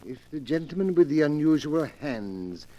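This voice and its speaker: A deferential manservant in an old radio thriller. His voice sits a little high, and his phrasing is formal and proper in the 1940s acting manner. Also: sing-song, normal speed, warm tone, dull audio. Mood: reading carefully. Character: deferential manservant to a sinister master.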